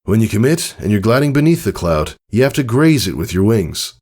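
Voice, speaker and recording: A caring father pegasus from a storybook, acted out by a man, patiently coaching his child. His delivery is earnest and instructive, with pitch that moves freely and sits above the reader's own low register. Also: big pitch swings, a little fast, a little mellow. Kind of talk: dramatized storybook character reading.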